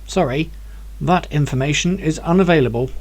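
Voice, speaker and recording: A man voicing a calm hologram professor, an AI helper giving measured, matter-of-fact replies. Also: on the high side, low-quality recording.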